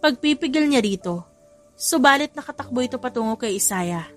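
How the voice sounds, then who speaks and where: A woman's voice: fairly high pitch, animated, brisk, fairly bright tone, faint music behind. A woman with a Filipino accent reading scripted, dramatic story narration.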